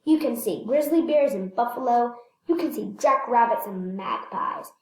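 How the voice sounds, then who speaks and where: A woman reading a children's picture book aloud, slightly halting, repeating phrases and adding casual asides. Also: a little high, animated, darkish tone. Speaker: read-aloud storyteller. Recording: echoey room.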